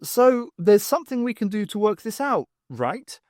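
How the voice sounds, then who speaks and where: A man voicing a brisk, confident woman adventurer in an audiobook, wry and emphatic. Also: sits high, big pitch swings, a little mellow, no room sound, clean studio sound, voice pushed up. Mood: eager, questioning. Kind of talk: audiobook character voice.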